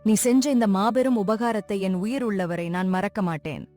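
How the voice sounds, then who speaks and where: A woman's voice: mid-range voice, normal speed, dark tone, close to an everyday voice. A woman playing an emotional, imploring village mother in a moral story. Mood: grateful. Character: desperate, grateful village mother.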